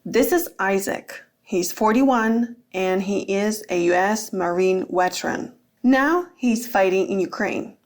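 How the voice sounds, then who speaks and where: A woman narrates a documentary podcast from a script. Her voice sits at a middle pitch for a woman, and she presents the story as its narrator.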